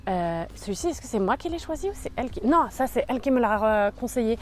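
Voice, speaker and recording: A woman's voice: a little high, animated, quick pace, a little mellow, a little hiss or hum. A young woman chatting casually in a book vlog, rambling with many fillers. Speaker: book YouTuber.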